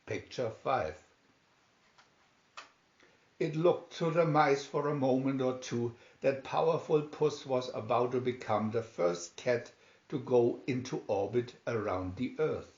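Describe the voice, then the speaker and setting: Slow, echoey male storybook reader. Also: medium pitch, a little mellow. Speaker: reader of children's picture booklets. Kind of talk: storybook reading, scripted narration. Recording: dull, echoey home recording.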